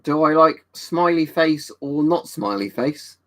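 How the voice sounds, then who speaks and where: A man's voice: fairly high pitch, expressive, unhurried, a bit bright. A man, casually chatting and answering viewers on a livestream. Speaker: livestream host reading chat. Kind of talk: casual chat.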